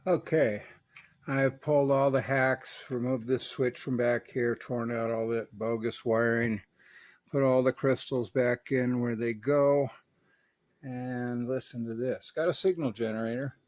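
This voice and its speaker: A man's voice: medium pitch, steady tone, unhurried, a little mellow. A man giving an informal narrated repair demonstration in a conversational, matter-of-fact and opinionated way. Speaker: radio repair hobbyist, video host.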